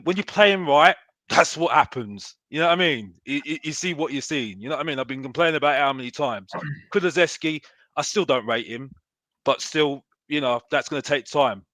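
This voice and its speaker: A man, a fan pundit on a podcast, loud and repetitive, insisting on his point. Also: fairly high pitch, no room sound. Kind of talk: heated fan podcast debate.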